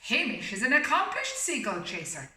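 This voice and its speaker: A woman voicing an agreeable old lighthouse keeper in a read-aloud storybook, speaking slowly and properly. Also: on the high side, huge pitch swings, bright, forceful tone, voice pushed up, full character voice. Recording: echoey room, far from mic.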